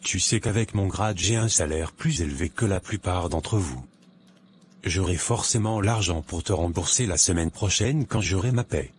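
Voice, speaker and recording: Deep-voiced, vain broke young man acted by a man. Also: moderate pace, slight background noise, natural voice. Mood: pleading. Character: vain, broke young office man living beyond his means. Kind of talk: animated moral tale dialogue.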